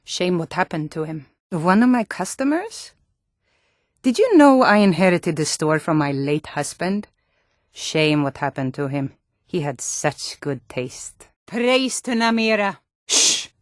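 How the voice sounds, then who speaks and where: A woman's voice: fairly low pitch, big pitch swings, unhurried, no room sound, studio quality. An actress voicing a hardy, proud Nordic townswoman in a video game, with a Nordic accent and a blunt, theatrical delivery. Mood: genteel, sly. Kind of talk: video game character voice acting.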